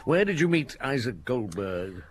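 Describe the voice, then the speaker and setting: An actor playing a shrewd, authoritative chief inspector with a husky voice in a radio murder mystery. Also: male voice, medium pitch, very animated, a little slow. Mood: stern questioning. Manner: probing, authoritative, wry. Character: shrewd, commanding male police chief inspector.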